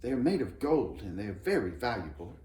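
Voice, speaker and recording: A man's voice: lowish voice, very animated, fairly quick, mellow, voice pushed up. A man reading a children's storybook, voicing an eager, knowing young girl who likes to explain. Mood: helpful. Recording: roomy echo, background noise.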